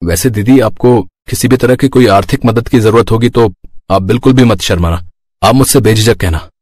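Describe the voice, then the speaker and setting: A man's voice: lowish voice, normal speed, darkish tone, no echo, close to an everyday voice. A man voicing a helpful, protective younger brother, speaking earnestly in a moral story. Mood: reassuring. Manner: earnest, reassuring. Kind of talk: Hindi moral story voice acting.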